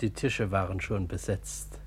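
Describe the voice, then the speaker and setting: An actor playing a humble, pious, guileless lay brother in a classical radio drama, speaking with simple, sententious earnestness. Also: male voice, sits low, steady tone, measured pace, husky, full character voice.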